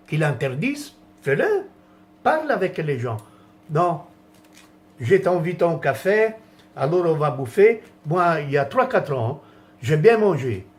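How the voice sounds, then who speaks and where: Indignant rambling man, slightly high voice. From France. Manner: indignant, rambling, many restarts and trailing phrases. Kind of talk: rambling political rant.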